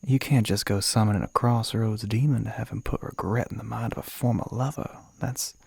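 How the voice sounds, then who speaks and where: A man playing a suave, flirty crossroads demon in an ASMR roleplay, with a courtly, teasing Southern US drawl that often drops to a soft breathy murmur. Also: lowish voice, fairly even intonation, fairly quick, no echo. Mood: amused, businesslike.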